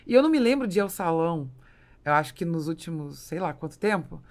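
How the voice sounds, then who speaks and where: A woman's voice: on the low side, lively intonation, fast talker, darkish tone. A woman with a Brazilian accent hosting a casual business podcast, talking emphatically and explaining through personal examples. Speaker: podcast host.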